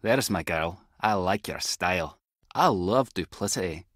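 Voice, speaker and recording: Husky, wry Scottish spy. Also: male voice, low-pitched, lively intonation, unhurried, a bit bright, dry recording, clean studio sound, full character voice. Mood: wry, explanatory. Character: wry, clever male tech-savvy spy agent. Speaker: video game voice actor. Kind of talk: scripted adventure game dialogue.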